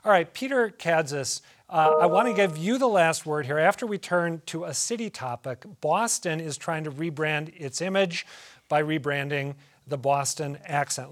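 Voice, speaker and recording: A man hosts a public radio talk show in a slightly higher register. He moderates in a brisk, conversational way and keeps the talk moving.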